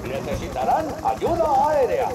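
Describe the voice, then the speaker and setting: An actor playing an urgent, heroic announcer of rescues in a children's cartoon, dramatic and exclamatory, in echoey sound over music. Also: male voice, very high-pitched, wildly swooping pitch, unhurried, full character voice. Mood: urgent, rousing.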